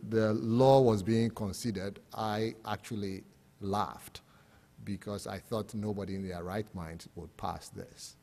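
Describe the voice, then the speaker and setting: A man's voice: lowish voice, mellow. A man from Ghana with a Ghanaian accent, giving an explanatory, plain-spoken panel presentation as an academic speaker. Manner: explanatory, plain-spoken, poses rhetorical questions. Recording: echoey hall with long reverb.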